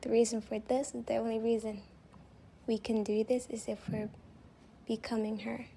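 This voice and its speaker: Soft breathy non-native english girl doing guided meditation, mellow and kinda whispery, super reassuring, bit of background hiss. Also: on the high side, little pitch movement. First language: not English. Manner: gentle, reassuring, unhurried. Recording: faint background noise, home recording.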